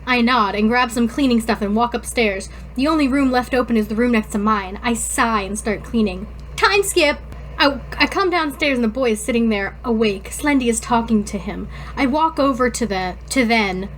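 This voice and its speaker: Girl podcaster w a really high voice, slangy n irreverent, reading fanfic casually, slight background noise. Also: moderate pace, fairly bright tone, low-quality recording. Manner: irreverent, slangy, swears freely. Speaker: podcast co-host. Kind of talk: casual chat and dramatic reading of fan fiction.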